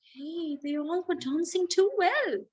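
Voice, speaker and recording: A woman with an Indian accent who speaks deliberately, as a children's storyteller addressing young listeners expressively. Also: extremely high pitch, expressive, darkish tone. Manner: slow, deliberate, child-directed delivery with drawn-out words. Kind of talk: expressive read-aloud for children.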